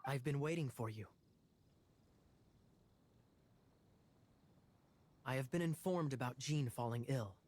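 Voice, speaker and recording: A man voicing a suave young knight in a fantasy game, courteous and formal with a sly, knowing smoothness. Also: medium pitch, a little fast, darkish tone, lightly acted. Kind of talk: animated game voice acting.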